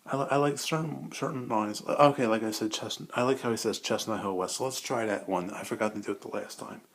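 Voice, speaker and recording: A man's voice: on the low side, fast talker, vocal fry. A man rambling casually and enthusiastically, with a hesitant, repetitive way of talking, like a hobby vlogger.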